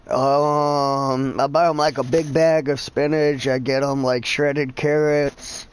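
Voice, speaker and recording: A man in his thirties talks through a headset mic during a game stream. He rambles in a loose, profane way and sounds exasperated. His voice sits at an ordinary middle pitch. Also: little pitch movement, slow, clean tone. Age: 30s. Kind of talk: casual chat.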